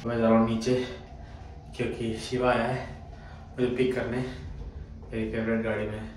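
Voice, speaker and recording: Chatty young man vlogging in traffic noise. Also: mid-range voice. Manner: chatty, teasing, addresses viewers directly. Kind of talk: casual travel vlog chat. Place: in cars, a flat and outdoors at night. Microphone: handheld camera at arm's length. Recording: noisy street and car noise.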